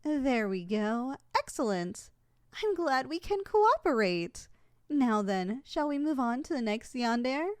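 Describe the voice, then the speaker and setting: A woman's voice: sits high, very animated, measured pace. A woman playing a polite, unflappable shop tour saleswoman in a scripted ASMR roleplay, formal and matter-of-fact. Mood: polite, salesy. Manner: formal, courteous, matter-of-fact about danger. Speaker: voice actor in audio roleplay.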